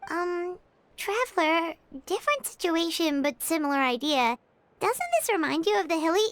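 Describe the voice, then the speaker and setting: A woman voicing a tiny, chirpy, childlike fairy companion in a video game, polite and squeaky. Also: lively intonation, moderate pace, no room sound. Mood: curious.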